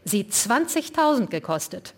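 A woman voicing a pompous middle-aged man who leads a club meeting with loud, rousing speeches. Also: fairly high pitch, big pitch swings, measured pace, voice pushed up.

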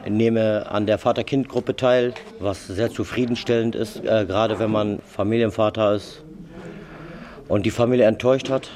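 A man's voice: on the low side, fairly even intonation, moderate pace, darkish tone. A man in his thirties, an inmate, speaking about himself in an interview. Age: 30s. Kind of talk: self-introduction in interview.

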